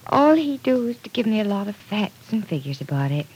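An actress playing a wry, warm-hearted young woman in an old radio drama, chatty and gently teasing. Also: medium pitch, sing-song, fairly quick, breathy edge. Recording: noisy old radio recording.

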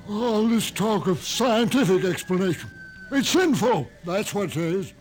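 A man's voice: high voice, very animated, measured pace, faint music behind. An actor in an old-time radio drama playing a fervent, folksy American male cult believer with a preachy, rapturous manner. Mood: pious fervor.

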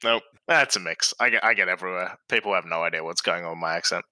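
A man's voice: deep voice, quick pace, pushed and bright, dry recording. A man with an accent mixing English and Australian, chatting easily as a guest co-host on a podcast and laughing often. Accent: mixed English and Australian. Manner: easygoing, laughs often, self-deprecating. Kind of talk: casual podcast chat.

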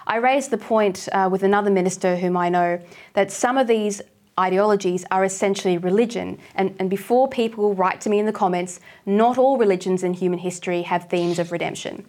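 Female talk host from Australia, mid-pitched, pointed questions. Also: fairly quick, a little mellow. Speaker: show host. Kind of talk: interview questions.

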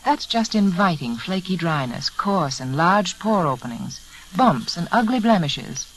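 A woman giving earnest, measured, persuasive advice in a 1940s radio commercial. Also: lowish voice, very animated. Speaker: beauty adviser in sponsor advertisement. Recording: faintly noisy vintage radio recording.

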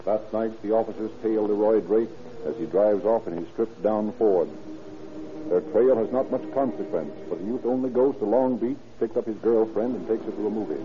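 A man's voice: on the low side, normal speed, music in the background, lightly acted. A man narrating a police case in an old-time radio crime drama, on a scratchy, muffled vintage recording. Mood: grave, matter-of-fact. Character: grave male announcer narrating a police case.

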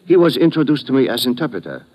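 A courteous, formal Japanese doctor. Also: male voice, mid-range voice, very animated, a little mellow, low-quality recording. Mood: polite, grave. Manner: polite, formal, measured. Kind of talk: 1950s radio drama acting.

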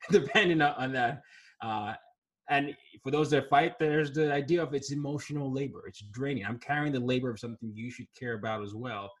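This is a man with a husky, slightly grainy voice, speaking at an ordinary pitch for a man. He talks through his ideas in an earnest, reflective way and often doubles up words as he gathers a thought. He is a guest from Nigeria in a relaxed conversation. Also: normal speed. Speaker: author and guest. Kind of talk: conversational interview.

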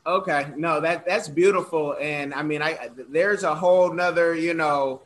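A man interviews a guest in a loose, joking style, drifting into long asides. His voice is high and very bright. Faint noise sits underneath. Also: fairly even intonation, moderate pace. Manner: chatty, jokey, rambling asides. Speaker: interviewer, singing teacher. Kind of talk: casual interview.

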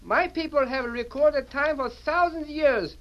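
A man's voice: very high voice, unhurried. An actor playing a polite, dignified little laundryman in an old radio Western, speaking formally. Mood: courteous. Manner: formal, ceremonious. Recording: dull vintage radio recording.

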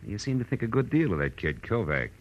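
A man's voice: on the low side, big pitch swings, quick pace, highs cut off. A man playing a tough, wisecracking, cynical private detective in a 1940s-style radio drama, sardonic and clipped. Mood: sardonic, needling. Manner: sardonic, clipped, hard-boiled. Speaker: lead actor and narrator. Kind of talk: 1940s hard-boiled radio drama acting.

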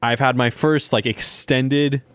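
A man's voice: mid-range voice, steady tone, unhurried, a bit bright, clear, resonant tone, dry recording. A young man hosting a sports podcast in a casual, rambling way, his voice a little sore. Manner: chatty, rambling, laughs often. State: slightly sore voice. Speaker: podcast host.

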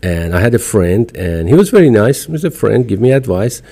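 Iranian-accented male guest, deep mellow voice, motivational and emphatic. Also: big pitch swings, brisk, vocal fry, husky. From Iran. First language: Farsi. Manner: emphatic, motivational, repeats short phrases. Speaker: podcast guest. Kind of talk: casual interview talk.

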